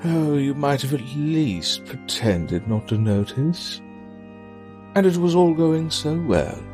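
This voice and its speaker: An actor playing a seductive, menacing villain in an audio drama, with a drawling, theatrical, teasing delivery. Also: male voice, on the high side, lively intonation, slow, a little mellow, faint music behind. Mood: possessive, menacing.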